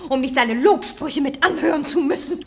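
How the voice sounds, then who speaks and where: Self-righteous young noblewoman, high voice, cool pride, radio comedy, faint noise behind. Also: normal speed. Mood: haughty. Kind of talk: classic comedy radio play acting.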